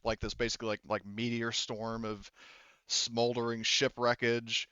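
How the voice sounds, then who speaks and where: A man running a tabletop game as game master, talking casually and haltingly and swearing freely. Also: mid-range voice, level tone, bright, forceful tone, clear, resonant tone, no echo, highs cut off.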